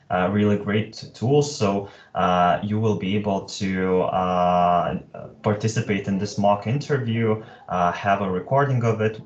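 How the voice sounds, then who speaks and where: A man from a career center moderating an online seminar, measured with some stumbles. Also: lowish voice, echoey room, recorded from a distance, dull audio.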